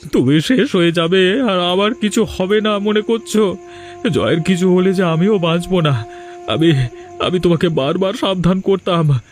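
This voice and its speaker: A man's voice: high-pitched, close to an everyday voice. A man playing a worried, reproachful village father in a melodramatic cartoon. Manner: earnest, reproachful.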